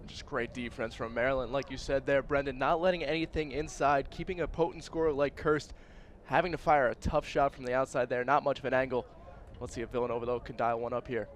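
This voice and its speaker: A male color commentator talks in a mid-pitched voice during a live game. His delivery is conversational and analytical. Outdoor background noise is clearly audible behind him. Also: fairly even intonation, a little fast.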